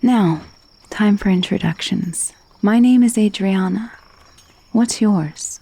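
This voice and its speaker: A woman playing a gentle but possessive, wealthy vampire mistress in an intimate ASMR roleplay, speaking softly and soothingly, often dropping into a whisper. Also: medium pitch. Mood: soothing, welcoming. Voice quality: soft, breathy. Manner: soothing, genteel, hushed, often slipping into whisper. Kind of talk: ASMR roleplay, intimate soft-spoken monologue. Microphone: close, dry microphone.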